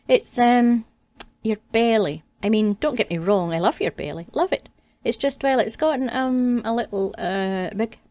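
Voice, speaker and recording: A woman's voice: on the high side, normal speed, darkish tone, voice pushed up, natural voice. Woman doing Adam, a nervous, stammering first man. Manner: hesitant, stammering when nervous. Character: bumbling first man, nervous and blunt husband. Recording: dull lo-fi recording, dry.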